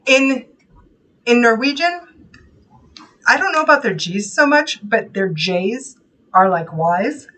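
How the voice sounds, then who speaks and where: An easygoing woman co-hosts a live sewing shop stream. She laughs easily and talks in a fairly high, bright, tense voice from a little back from the microphone. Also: big pitch swings, slow, pauses often, far from the mic, a little hiss or hum. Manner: easygoing, laughs often, self-deprecating. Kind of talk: casual live-stream chat.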